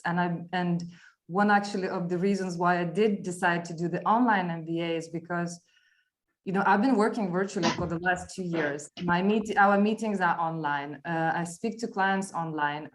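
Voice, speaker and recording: A woman talking informally about herself as a guest on an online panel. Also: fairly low pitch.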